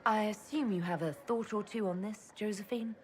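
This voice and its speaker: A woman voices a decisive leader in a fantasy game. She is calm and straightforward, supportive without fuss. The pitch is middling and there is some background noise. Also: normal speed, lightly acted. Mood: direct, composed. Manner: plain, direct, calm. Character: composed, decisive heroine leader, supportive and direct. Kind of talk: dramatic video game cutscene acting.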